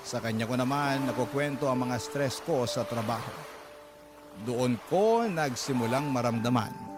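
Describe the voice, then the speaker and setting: A man's voice: mid-range voice, natural voice. A man narrating a listener's letter in a radio drama, earnest and confiding as he addresses the host. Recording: loud music bed under voice.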